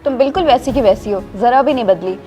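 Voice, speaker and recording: A woman's voice: fairly high pitch, animated, quick pace, dark tone, soft background music, close to an everyday voice. A woman acting an impulsive, easily swayed young wife in a moral-story drama. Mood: defensive, placating.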